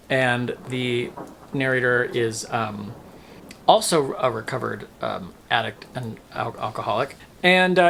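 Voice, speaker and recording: A man speaks in an informal, chatty vlog style, with a medium-pitched voice over audible ambient noise. He sounds like someone reviewing books off the cuff, stressing words and thinking out loud as he goes. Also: gravelly. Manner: informal, emphatic, musing aloud and second-guessing himself. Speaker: book reviewer, vlog host.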